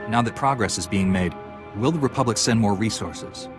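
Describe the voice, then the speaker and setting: A heroic male knight voiced by an actor with a fairly low voice. He is calm and resolute, in game cutscene style, with music clearly behind him. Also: normal speed, close to an everyday voice. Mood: calm resolve. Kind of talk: video game cutscene acting.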